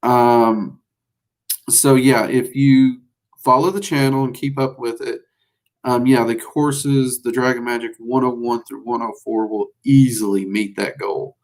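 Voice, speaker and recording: A man's voice: mid-range voice, fairly even intonation, unhurried, darkish tone, poor audio. Folksy Southern man rambling on a livestream. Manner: rambling and folksy, frequent fillers. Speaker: livestream host teaching magic. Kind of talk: casual livestream chat, answering viewers.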